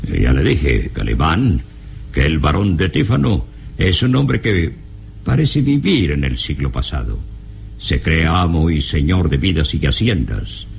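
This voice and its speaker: An actor playing a learned, earnest professor who explains deliberately and hesitantly, in radio serial style. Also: male voice, rumbling low, very animated, gravelly, full character voice. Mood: earnest, wary. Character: learned, earnest male professor, hero's companion. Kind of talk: old Spanish-language radio serial acting. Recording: slightly noisy old radio recording.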